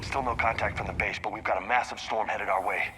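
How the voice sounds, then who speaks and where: A man playing a gruff, blunt young soldier in a sci-fi game, speaking informally. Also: fairly even intonation, quick pace, lightly acted. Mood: tense, matter-of-fact. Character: gruff, blunt, loyal male space marine. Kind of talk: dramatic sci-fi game dialogue. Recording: noisy vehicle rumble, comms.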